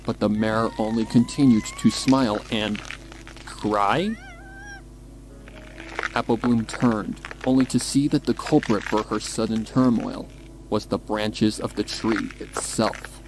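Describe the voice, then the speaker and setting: A man's voice: fairly low pitch, takes it slowly, low-quality recording. A man reading a horror story aloud in a dramatic, scripted narrator's style. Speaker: narrator of a horror fan fiction.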